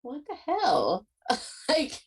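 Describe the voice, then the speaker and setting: A woman's voice: high voice, wildly swooping pitch, extremely slow. A woman hosting a podcast in casual interview chat, effusive and informal, often saying "like". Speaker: podcast host.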